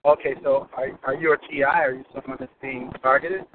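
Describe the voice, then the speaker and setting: A man's voice: mid-range voice, steady tone, brisk. Rambling male caller on a phone. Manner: rambling, many restarts. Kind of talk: call-in question. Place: car, driving to work. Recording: phone line with faint noise.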